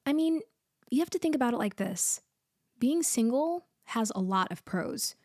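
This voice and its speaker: A woman playing a blunt but caring best friend in a comforting roleplay ASMR audio, talking casually with thoughtful pauses. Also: fairly high pitch, lively intonation, darkish tone, no echo, studio quality, lightly acted. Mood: reflective, reassuring. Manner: casual, conversational, hesitant pauses. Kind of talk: intimate roleplay ASMR, casual one-sided conversation.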